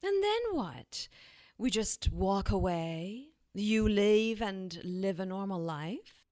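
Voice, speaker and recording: A woman's voice: medium pitch, animated, takes it slowly, darkish tone, no echo, clean studio sound. An actress playing a cruel, mocking, centuries-old witch in an audio drama, taunting and theatrical as she draws out her words. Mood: cool, dismissive.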